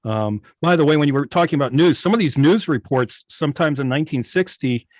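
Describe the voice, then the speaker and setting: A man chatting casually about his hobby, halting but keen on the details, on a video call. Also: fairly high pitch, a little mellow, no room sound, clean studio sound. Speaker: old-time radio station operator, guest. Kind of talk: casual hobby club chat.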